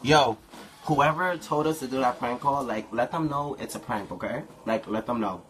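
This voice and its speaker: A man's voice: medium pitch, normal speed, a bit bright, slight background noise. A young man in his twenties, casually chatting on a home livestream in a brash, profane, campy way. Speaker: livestream co-host. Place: living room at home.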